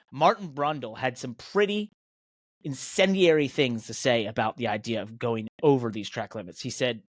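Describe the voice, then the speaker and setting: A man's voice: medium pitch, dry recording. Emphatic American man ranting, dry sound. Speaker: podcast host and professional race car driver. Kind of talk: opinionated motorsport podcast talk.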